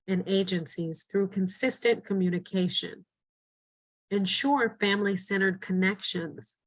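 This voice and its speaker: A middle-aged woman educator reads training slides in a steady, earnest voice at a mid pitch. She guides listeners through reflection prompts with a plain instructive delivery. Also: rough recording. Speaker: educator and presenter. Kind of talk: scripted training narration.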